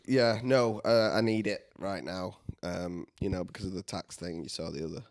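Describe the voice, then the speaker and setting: A man's voice: deep voice, normal speed. A man with a Manchester accent co-hosting a podcast, chatting casually, laughing often and swearing easily. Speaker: podcast co-host. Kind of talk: casual chat.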